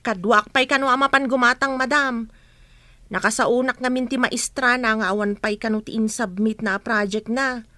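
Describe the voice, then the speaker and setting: High, bright, protective nanny played by a woman. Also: steady tone, normal speed, dry recording. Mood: firm pleading. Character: protective, loyal household nanny, respectful but firm. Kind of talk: Ilocano radio drama acting.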